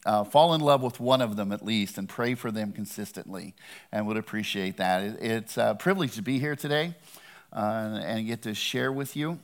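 A man's voice: lowish voice, normal speed. A man giving a sermon as a guest preacher, talking in a conversational, earnest way with touches of humor.

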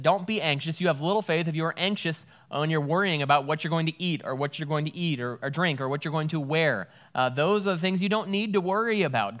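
A man preaches in a relaxed, conversational way. His voice sits at an ordinary middle pitch. He talks plainly while explaining scripture. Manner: conversational, explains Greek words with a folksy aside. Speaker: pastor. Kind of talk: sermon with scripture reading.

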